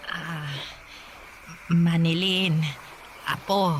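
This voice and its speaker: A woman acts out an old Filipino grandmother in a radio drama, with clear noisy ambience around her. The voice is on the low side, halting and apologetic, soft-hearted in temper. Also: steady tone. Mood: worried, hesitant. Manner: halting, hesitant.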